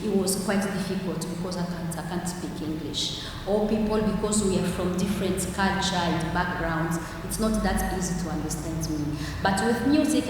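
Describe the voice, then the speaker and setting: A woman's voice: mid-range voice, background noise. Swahili-speaking woman giving a talk, stops and restarts a lot, far from the mic in a big echoey hall. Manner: halting, searching for words, many restarts. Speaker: conference speaker. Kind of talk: personal testimony talk. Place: large hall.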